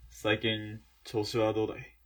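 A man playing an awkward, evasive former soldier in a radio drama, speaking in a hesitant, halting way. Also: on the low side, monotone, a bit bright, close to an everyday voice. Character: awkward, evasive male ex-soldier, reluctant bearer of news. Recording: distant from the mic.

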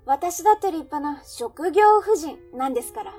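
A woman plays a self-assured, romance-loving café regular in a radio drama. Her voice is high but mellow, with a breezy, curious manner. She sounds slightly off the microphone. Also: expressive, normal speed, distant mic, soft background music. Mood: chatty, breezy. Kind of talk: Japanese radio drama acting.

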